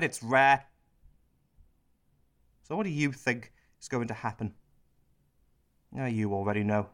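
A man's voice: mid-range voice, fairly bright tone, natural voice. A man playing a cynical, self-pitying prime minister in a satirical radio play, sardonic and bitter as he confides in the audience.

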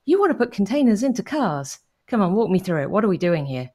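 A woman's voice: mid-range voice, big pitch swings, quick pace, darkish tone. A woman hosting an interview podcast, chatty and upbeat, with enthusiastic interjections. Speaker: podcast host. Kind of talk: casual interview chat.

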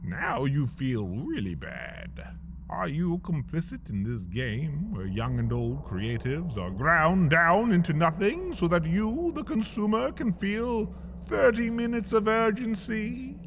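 A man's voice: a little high, very animated, slow pace, a bit bright, slight background noise. A man reading a scripted comic story in the second person, with theatrical, dramatic delivery and wry understatement, slightly muffled over faint ambience. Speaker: podcast host and narrator. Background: faint ambient sound effects.

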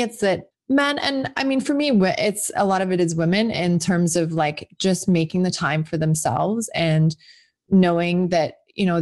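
A woman in her forties talks as a guest author in a relaxed interview. Her voice sits a little low, and she rambles cheerfully, circling back with restarts and fillers. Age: 40s. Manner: chatty, rambling with many restarts and likes. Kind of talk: casual podcast interview.

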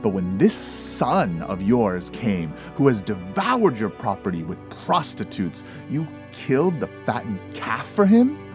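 A man's voice: mid-range voice, wildly swooping pitch, measured pace, few pauses, over music, pitched above the performer's own voice. A man doing a snarling bitter older brother. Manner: snarling, resentful.

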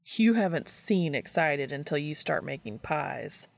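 Woman reading as a cheeky, creaky-voiced geeky guy. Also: deep voice, expressive, unhurried, no room sound, full character voice. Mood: playful, teasing. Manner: casual, slangy, teasing.

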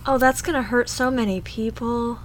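Higher-pitched woman director, faint noise. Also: fairly even intonation, moderate pace, poor audio. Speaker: director of a table read. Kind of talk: casual session chat. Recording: session audio with faint room noise.

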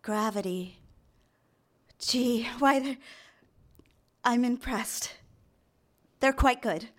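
An actress playing a nervous, eager young secretary smitten with her boss, halting and deferential, in a live radio drama. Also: fairly high pitch. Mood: earnest, admiring. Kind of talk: live radio drama acting with first-person narration. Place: theater stage, live show.

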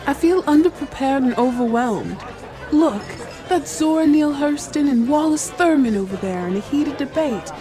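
A fretful, high-voiced wife. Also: female voice, expressive, normal speed. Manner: fretful, self-conscious. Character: insecure, fretful young wife and secretary. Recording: music and party crowd behind.

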